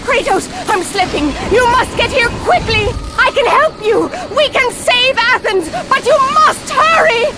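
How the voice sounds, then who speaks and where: A woman's voice: very high-pitched, animated, a little slow, hardly any pauses, over a noisy crowd, rough recording. An actress playing a frantic oracle woman in a video game, urgent and pleading. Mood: panicked, pleading. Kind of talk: dramatic video game acting. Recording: loud music over the voice.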